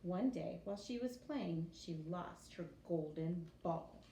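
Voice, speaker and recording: Female storybook reader, expressive teacher style for young kids; echoey room, noisy recording. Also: lowish voice, slow. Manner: animated storytelling with acted character voices. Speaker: teacher reading a picture book to young pupils. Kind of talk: expressive children's storybook read-aloud. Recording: echoey room with clear background noise.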